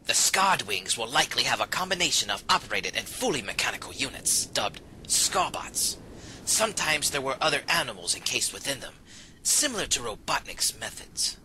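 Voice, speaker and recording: A man's voice: mid-range voice, sing-song, normal speed, sharp tone, a little hiss or hum, poor audio. A man playing a dry, wry mercenary in an audio drama. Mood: serious briefing. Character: sardonic male mercenary briefing his team.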